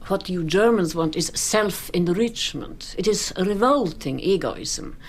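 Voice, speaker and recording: An actress playing a proud, worldly woman in a radio drama, coolly alluring, with a languid, ironic delivery that turns breathy at phrase ends. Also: lowish voice, lively intonation, measured pace, slight background noise. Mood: mocking. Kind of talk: literary radio drama acting.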